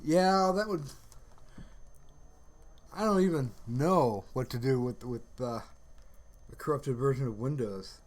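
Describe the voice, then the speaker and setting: A man hosting a casual livestream, chatting in a rambling, halting way and often trailing off mid-sentence. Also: mid-range voice, a little mellow. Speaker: livestream host.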